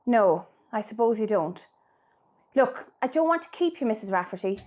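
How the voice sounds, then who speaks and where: Actress as high-voiced, weary Irish daughter. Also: female voice, lively intonation, normal speed, darkish tone, close to an everyday voice. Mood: strained politeness. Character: weary, patient grown-up daughter, married mother. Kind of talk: radio play acting.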